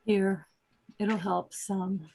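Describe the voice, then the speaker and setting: Actress playing weary woman, earnest, subdued, gravelly creak, extremely husky, film drama. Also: medium pitch, steady tone, extremely slow, a little mellow, full character voice, poor audio. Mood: worried, determined. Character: weary, protective woman caring for addicts.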